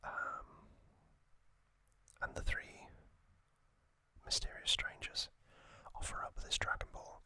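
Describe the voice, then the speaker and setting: An ASMR host speaking in a breathy, unvoiced whisper, giving a hesitant, off-the-cuff recap. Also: slow pace, pauses often, bright, forceful tone.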